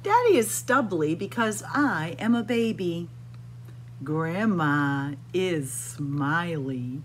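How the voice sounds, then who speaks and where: A middle-aged woman reads a children's picture book aloud at a very slow, careful pace. Her slightly low voice moves expressively through sing-song phrases, as a storyteller speaking to small children. There is some background noise in the room. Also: big pitch swings. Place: indoors in a library room with bookshelves.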